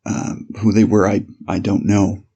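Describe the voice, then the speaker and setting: A man playing an 1890s police officer on the witness stand, giving measured, formal, slightly hesitant testimony in a dramatized courtroom reading. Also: fairly low pitch, level tone, dark tone, breathy edge, muffled recording.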